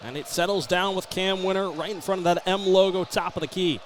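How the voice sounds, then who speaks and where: An American man doing brisk, descriptive live basketball play-by-play that can rise to excited calls. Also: sits high. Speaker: play-by-play sports announcer. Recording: live arena broadcast, background ambient noise. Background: basketball game ambience.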